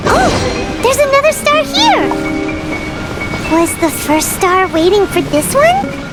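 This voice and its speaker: A woman's voice: extremely high pitch, very animated, slow pace, over loud music, exaggerated voice. A woman voicing a tiny, chirpy, childlike fairy companion in a video game, wide-eyed and exclamatory. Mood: curious wonder.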